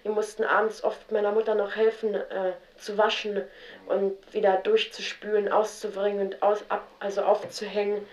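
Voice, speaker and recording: A young woman telling about her childhood in a halting, spontaneous way, often with fillers and restarts. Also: medium pitch, a little mellow. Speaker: interviewee. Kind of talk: spontaneous personal account. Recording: roomy, dull 1970s radio tape.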